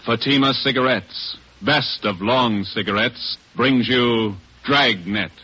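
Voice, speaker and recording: A man's voice: mid-range voice, slow. Male American 1950s radio announcer, formal, declamatory, medium register, muffled old broadcast with music underneath. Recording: dull vintage radio, music behind.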